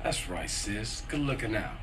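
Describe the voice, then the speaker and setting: A man's voice: on the low side, expressive, moderate pace, over music, natural voice. A man playing a young, slangy, blunt American street hustler in a video game, tough but loyal. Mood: brooding, resigned. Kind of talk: video game cutscene acting.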